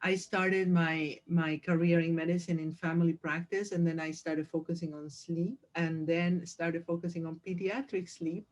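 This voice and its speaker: Flat-voiced woman doctor from Peru. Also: on the low side. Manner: warm, gracious, measured. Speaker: sleep physician. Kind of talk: video call conversation. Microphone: video call.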